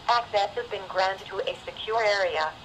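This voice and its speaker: A woman's voice: mid-range voice, normal speed. A woman reading a scripted alert announcement over a radio. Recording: radio playback in a noisy room.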